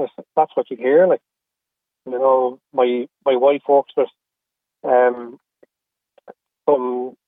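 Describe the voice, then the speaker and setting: A middle-aged Irish man talks candidly as an interview guest over a narrow phone-like line. His voice sits at an ordinary male pitch, and he speaks earnestly, often stopping and repeating himself. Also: dry recording. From Australia and Ireland. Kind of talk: candid personal conversation. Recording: phone-like, narrow-band radio call.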